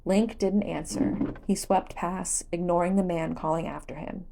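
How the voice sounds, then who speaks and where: A woman's voice: on the low side, steady tone, a little slow, vocal fry, warm tone. A woman reading a story aloud as scripted audiobook narration. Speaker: audiobook narrator of fan fiction.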